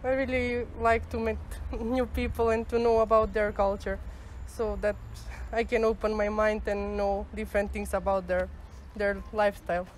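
A woman's voice: mid-range voice, flat delivery, normal speed, few pauses, background noise. A young woman, in English, giving chatty, giggly answers in a street interview. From North Macedonia.

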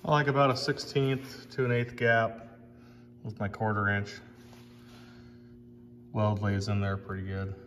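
Blunt fab shop guy in his 30s, wry, cusses a bit, echoey metal shop with clear background noise. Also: on the low side, level tone, unhurried, pauses often, fairly bright tone. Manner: blunt, wry, plain-spoken, swears casually. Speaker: fabrication shop owner. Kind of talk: casual shop vlog narration. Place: large metal fabrication shop indoors. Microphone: camera mic, often across the shop.

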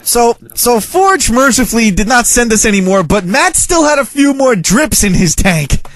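A man's voice: very high-pitched, expressive, unhurried, a bit bright, no echo. A man hosting a radio show in casual chat, teasing and mocking. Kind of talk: casual chat, reacting to listener voicemails.

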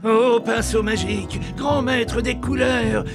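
A performer voicing a kindly, jolly old male gnome in a children's cartoon, creaky and theatrical. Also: medium pitch, steady tone, slow, over music. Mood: grand, theatrical.

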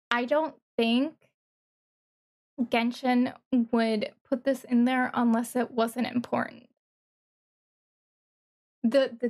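A woman streamer giving casual reaction commentary, thinking aloud in a halting way and repeating words. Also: on the high side. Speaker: streamer reacting to a game trailer.

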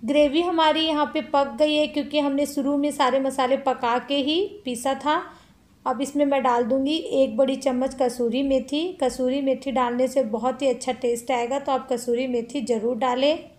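A woman's voice: high voice, little pitch movement, normal speed, a little mellow. A woman hosting a cooking channel, chatting step by step through a recipe in a home kitchen and calling her viewers friends.

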